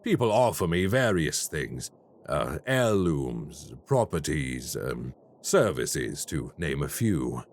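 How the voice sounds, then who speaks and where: A man voicing a cold, calculating crime boss in an audio roleplay, speaking in a slow, measured and formally menacing way. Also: very deep voice, sing-song, heavily acted. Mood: menacing offer.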